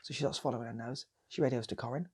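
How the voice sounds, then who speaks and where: A man's voice: mid-range voice, very fast, a little mellow, slightly rough. A British man improvising a comic story, rambling and repeating phrases for effect as he goes. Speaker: channel host and storyteller.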